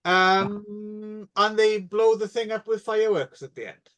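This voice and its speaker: Male podcast co-host, high voice, sarcastic joker who fills every pause. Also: fairly even intonation, fairly bright tone, smooth. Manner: jokey and sarcastic, with many fillers. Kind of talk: casual film podcast chat.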